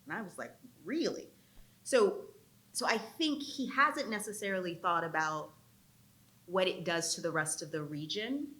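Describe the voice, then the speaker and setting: Lively, casual woman panelist. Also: lowish voice, measured pace. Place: auditorium.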